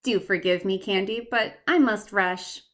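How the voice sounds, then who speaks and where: A woman's voice: medium pitch, unhurried, natural voice. A woman playing an effusive, polite society lady in a 1950s-style detective radio play, with a mannered delivery. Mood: gushing.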